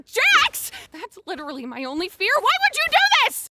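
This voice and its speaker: Woman voicing a shrill, panicky cartoon girl in loud noise. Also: wildly swooping pitch, normal speed, heavily acted. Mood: horrified, exasperated. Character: panicky, exasperated young female cartoon character. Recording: loud noise over clip.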